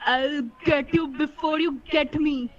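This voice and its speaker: A woman's voice: sits high, fairly even intonation, takes it slowly. A woman with an Indian accent playing a troubled, defensive, volatile young man in an amateur radio play. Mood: agitated, threatening. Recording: muffled with faint noise.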